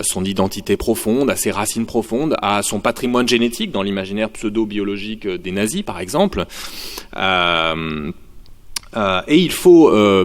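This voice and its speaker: Man lecturing with many fillers, reverberant hall. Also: fairly low pitch. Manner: thinking aloud with many fillers and restarts. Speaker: sociology lecturer. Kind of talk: university conference talk.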